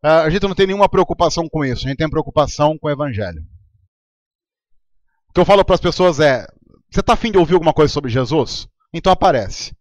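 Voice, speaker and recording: A Brazilian man preaching a sermon in a relaxed, conversational way, telling stories from his church. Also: on the high side, fast, no room sound. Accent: Brazilian Portuguese. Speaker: pastor.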